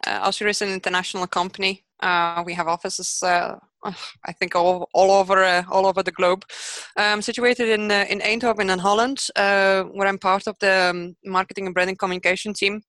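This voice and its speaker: A woman gives explanatory answers as an interview guest. Her voice is bright and medium in pitch, and she pauses and hesitates often as she builds each sentence. The recording is dry. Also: flat delivery, no room sound. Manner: halting, hesitant phrasing with very frequent pauses. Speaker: podcast guest, project manager.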